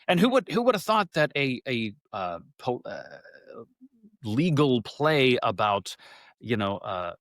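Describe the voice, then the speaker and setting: A man speaking as an interview guest and actor, telling stories casually in an animated, digressive way and often stammering over repeated words. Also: medium pitch, a little slow, dry recording, clean studio sound.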